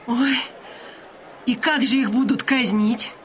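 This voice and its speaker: A woman's voice: fairly high pitch, fairly even intonation, takes it slowly, some chatter behind, slight background noise. An actress playing a naive, exclamatory young noblewoman in an audio drama. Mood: wide-eyed excitement. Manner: gasping, exclamatory.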